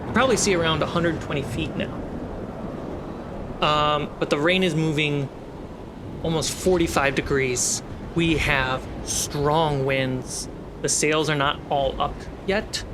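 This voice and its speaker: Slow-paced man narrating a fantasy game. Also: fairly high pitch, steady tone, noisy background. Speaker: game master. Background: storm and ship sound effects.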